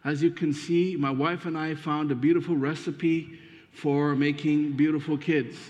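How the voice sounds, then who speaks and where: A man's voice: fairly high pitch, level tone, measured pace, darkish tone. A man from Ethiopia, a guest preacher, introducing himself and his family to a congregation in a friendly, hesitant way with fillers. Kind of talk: sermon introduction. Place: church sanctuary. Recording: dull muffled sound with long reverb.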